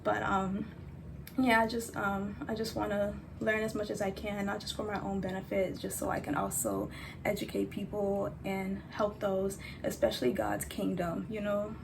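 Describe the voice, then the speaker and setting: A woman vlogger with a soft voice, chatting casually to camera at home with lots of fillers. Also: mid-range voice, expressive, normal speed, gravelly. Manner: chatty, rambling, many fillers. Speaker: lifestyle vlogger. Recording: loud fan noise behind. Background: loud fan.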